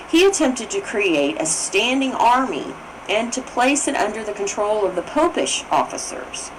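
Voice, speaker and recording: A woman reading a formal text aloud as narration, carefully, sometimes stumbling and correcting herself. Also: medium pitch. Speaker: reader of a book on constitutional history. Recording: clear background noise.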